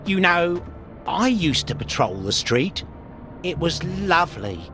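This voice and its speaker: A man's voice: on the high side, very animated, slow, music in the background. A man with a British accent playing a chatty, petty records policeman in a video game, rambling and pleased with himself. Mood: wistful, aggrieved. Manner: rambling, self-pleased, chuckling. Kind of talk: video game character acting.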